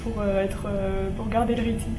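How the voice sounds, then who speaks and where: A woman's voice: medium pitch, flat delivery, moderate pace. An eighteen-year-old female student answering hesitantly with fillers. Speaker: prep school student. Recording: echoey room from a distant mic, clear noise.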